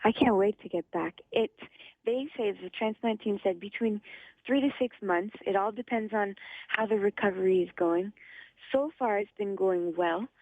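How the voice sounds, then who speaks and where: A young woman from Ottawa with a slightly raspy voice, an upbeat guest calling in to a radio show and laughing often. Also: medium pitch, moderate pace, no room sound. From Ottawa, Canada. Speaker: phone-in guest. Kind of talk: casual radio interview. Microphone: phone line. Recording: tinny dry phone line.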